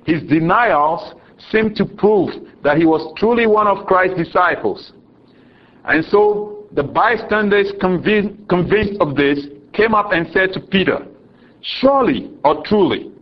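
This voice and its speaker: Slow Ghanaian man preaching. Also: muffled recording. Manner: slow, deliberate preaching with frequent chuckles, dropping low for solemn lines. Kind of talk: sermon.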